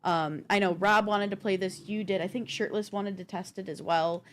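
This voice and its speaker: A woman's voice: medium pitch, fairly even intonation, normal speed, a little hiss or hum. A woman co-hosting a gaming news show, counting down a list in a casual, chatty, informal way.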